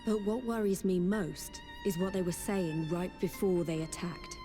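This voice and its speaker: A woman playing a composed, matter-of-fact adventurer in a fantasy game, in a measured and serious manner. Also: fairly low pitch, warm tone, natural voice. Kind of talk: fantasy video game voice acting. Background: orchestral game music with strings.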